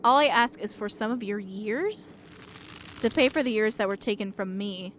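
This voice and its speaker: A woman's voice: medium pitch, moderate pace, fairly bright tone, lightly acted. Woman voicing a grave, vengeful game ghost, noisy recording. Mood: mournful, demanding. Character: vengeful, mournful male ghost demanding repayment of stolen years.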